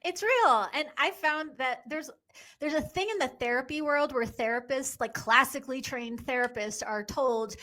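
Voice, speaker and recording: An American woman from New York speaking as a podcast guest, a therapist with a frank, self-deprecating, animated way of talking. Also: high-pitched, normal speed. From New York, United States. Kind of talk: candid interview conversation.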